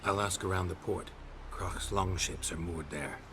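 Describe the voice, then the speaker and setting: Grim, terse monster hunter. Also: male voice, low-pitched, a little slow, background noise. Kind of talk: video game cutscene acting.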